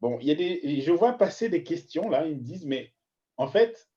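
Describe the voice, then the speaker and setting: A man's voice: a little high, very animated, a little fast, dark tone, dull audio. A man hosting an online interview, asking long, wordy questions broken up by hesitations. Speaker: host.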